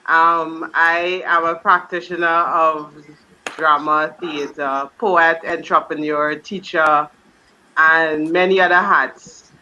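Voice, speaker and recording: A Guyanese woman speaking as a guest judge on a panel, measured and a little hesitant with fillers. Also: low-pitched, little pitch movement, sharp tone, dull audio, slight background noise. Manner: measured, self-introducing with fillers. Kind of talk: panel discussion.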